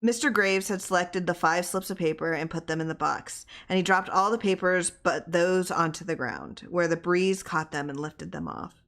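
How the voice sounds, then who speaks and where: A woman's voice: fairly low pitch, normal speed, a bit bright. A woman narrating a short story from a script as a reader, with character dialogue set among the prose.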